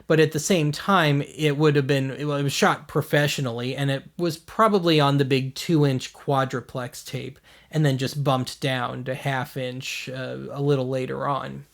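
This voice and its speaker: A man's voice: mid-range voice, steady tone, unhurried, creaky, hardly any pauses. An American man hosting a video series, giving casual commentary in a wry, blunt, self-deprecating way. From United States.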